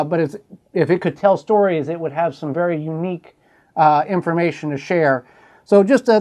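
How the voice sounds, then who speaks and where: A man's voice: high-pitched, darkish tone, lo-fi sound. Folksy man doing a show-and-tell. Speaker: host showing a collectible.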